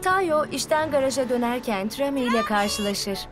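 A woman's voice: on the high side, moderate pace. A woman reading scripted narration for a children's cartoon story. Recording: children's music behind.

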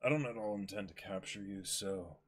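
Man reading as a deep, husky, lazy admiral. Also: fairly quick, a bit bright. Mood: weary, casual. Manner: drawling, forgetful, unbothered. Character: lazy, laid-back male navy admiral. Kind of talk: dramatic comic-book reading.

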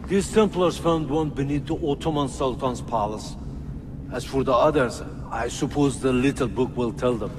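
A man's voice: mid-range voice, moderate pace, close to an everyday voice. An actor playing a weary, frightened young male laborer in a video game, speaking plaintively and with resignation. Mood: weary, resigned. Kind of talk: dramatic game dialogue. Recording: echoey stone chamber, noisy ambience.

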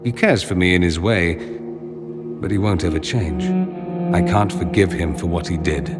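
A man's voice: sits low, unhurried. An actor playing a proud, wounded nobleman mage in a video game, articulate and sarcastic in a theatrical way. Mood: quiet hurt. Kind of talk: dramatic video game acting. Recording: long reverb, music behind.

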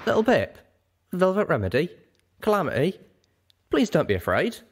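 A man voicing a huge, friendly, slightly awkward grown dragon with a gentle, earnest manner. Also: sits high, wildly swooping pitch, normal speed, husky, pitched above the performer's own voice, full character voice. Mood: friendly, reassuring.

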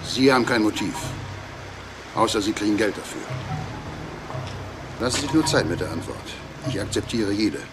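Actor as a cold, measured criminal middleman. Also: on the low side, lively intonation, music in the background, close to an everyday voice. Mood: coolly businesslike. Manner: measured, probing, matter-of-fact. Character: cold, businesslike middleman recruiting a hired killer. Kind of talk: German crime radio drama acting.

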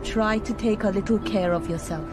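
A woman's voice: medium pitch, close to an everyday voice. Actress as a cool, wry female agent. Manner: measured, wry, briefing delivery. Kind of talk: video game cutscene acting. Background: music.

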